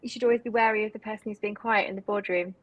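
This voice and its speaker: A woman's voice: mid-range voice, steady tone, racing, muffled recording, a little hiss or hum. A woman interviewer who hosts the conversation and affirms her guest with short interjections. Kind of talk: interview hosting.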